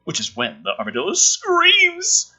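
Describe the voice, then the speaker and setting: A man's voice: extremely high pitch, huge pitch swings, a little slow, bright, forceful tone. A man with a slightly sick throat reads a script aloud, adding sweary, sarcastic, exasperated commentary. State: throat trouble from being a bit ill. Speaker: host reading and mocking a film script. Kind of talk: script read-aloud with ranting, joking commentary.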